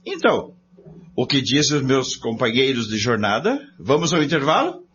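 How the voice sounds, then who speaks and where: A man's voice: medium pitch, sing-song, moderate pace, a bit bright, husky. Actor as a swooping, jovial Brazilian researcher man. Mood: jovial, persuasive. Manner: theatrical, wordy, formal phrasing. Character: Guilherme, jovial male member of a research group. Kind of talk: scripted radio drama acting. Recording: dull lo-fi audio with faint noise.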